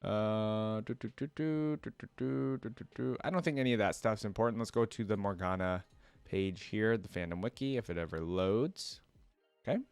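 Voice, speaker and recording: A man's voice: fairly low pitch, moderate pace, gravelly, darkish tone, clean tone, no room sound. A man hosting a reaction video, commenting casually and informally, thinking aloud as he browses and reads.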